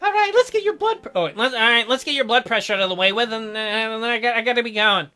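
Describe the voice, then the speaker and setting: A bright-toned older male doctor played by a man in a visual novel. He is playful and light but gets embarrassed and hesitant, his pitch moving around a lot, with soft music underneath. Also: expressive, fast talker, exaggerated voice. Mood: flustered. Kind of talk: visual novel character read aloud.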